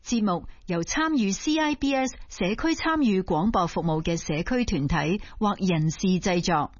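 A woman reading a formal station announcement in a calm voice. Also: mid-range voice, normal speed. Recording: dull broadcast sound.

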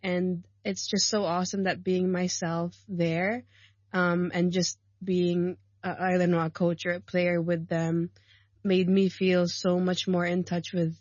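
A woman in her thirties, a rugby player and coach, chatting as a guest in a radio studio, warm and laughing often, with many ums and likes. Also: lowish voice, flat delivery, unhurried, no room sound, lo-fi sound. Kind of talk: casual radio interview. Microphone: studio mic.

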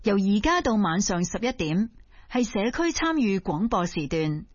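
A woman's voice: medium pitch, big pitch swings, normal speed, highs cut off, background noise. A woman station announcer giving a formal schedule announcement.

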